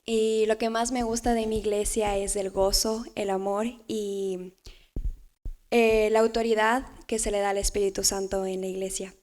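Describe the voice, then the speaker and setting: A woman's voice: mid-range voice, flat delivery, moderate pace, darkish tone. A woman in her early twenties with an Ecuadorian accent, talking casually as a podcast guest. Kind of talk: casual self-introduction.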